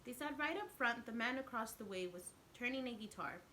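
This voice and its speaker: A woman with a fairly high voice reads a picture book to young children. She is expressive and speaks simply, like a teacher talking to her class. There is audible noise in the recording. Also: fairly quick. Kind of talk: read-aloud storytelling with asides to young listeners.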